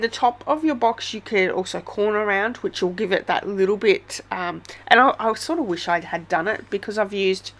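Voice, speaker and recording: A woman's voice: mid-range voice, gravelly. Australian woman with a head cold. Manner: chatty, gushing, many ums. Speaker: craft tutorial host. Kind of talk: casual step-by-step craft tutorial.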